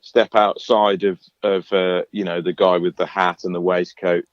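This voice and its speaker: A singer-songwriter guest in a radio interview, talking in a relaxed, chatty way with lots of fillers and restarts in his low voice. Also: normal speed, no room sound, dull audio. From England.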